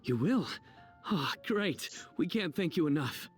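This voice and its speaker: An actor playing a worried, earnest city guard in a video game. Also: male voice, fairly high pitch, animated, measured pace, soft background music. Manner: earnest, weary sighs.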